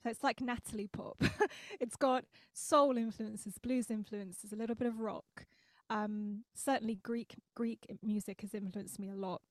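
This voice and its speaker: A woman's voice: on the high side, steady tone, unhurried, no room sound. A young adult woman singer-songwriter chatting in an interview from her home studio, laughing often and sounding grateful. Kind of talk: casual interview chat. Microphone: studio mic.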